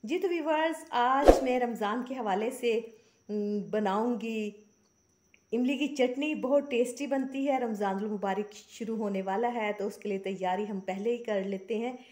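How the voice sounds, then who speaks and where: A woman's voice: fairly high pitch, moderate pace, darkish tone. A woman, hosting a cooking channel and explaining a recipe step by step in a homely, chatty way, sprinkled with blessings. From Pakistan. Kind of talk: casual cooking tutorial voice-over. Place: home kitchen.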